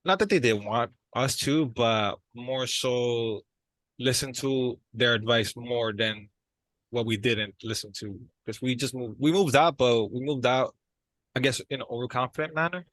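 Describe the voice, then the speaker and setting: A man's voice: medium pitch, unhurried, fairly bright tone, no room sound, natural voice, rough recording. An actor playing an earnest younger brother in a therapy session, groping for words as he speaks. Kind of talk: improvised dramatized therapy session.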